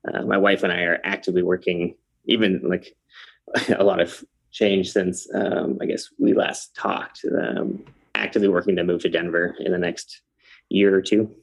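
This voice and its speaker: A man from Canada, in his thirties, talks as a guest on a podcast. His voice is low with a gravelly edge. He takes his time and fills gaps with ums and uhs. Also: little pitch movement, normal speed. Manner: hesitant, many ums and uhs, easygoing. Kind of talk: casual interview chat.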